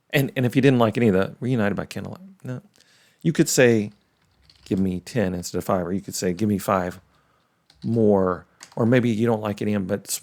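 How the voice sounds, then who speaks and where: A man's voice: low voice, vocal fry, darkish tone. A middle-aged man, hosting a tech series with casual, unscripted demo commentary. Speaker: host of a tech tutorial series.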